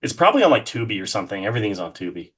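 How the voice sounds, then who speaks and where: A man with a fairly low-pitched voice speaks as an interview guest. His delivery is casual and conversational. He comes across as enthusiastic and effusive. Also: sing-song, very fast, a bit bright, husky. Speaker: podcast guest, horror artist.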